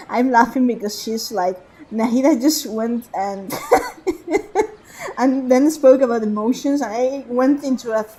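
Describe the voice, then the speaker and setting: A woman's voice: a little high, takes it slowly, dark tone, poor audio. A woman streaming a game, rambling in casual commentary with frequent laughter and restarts.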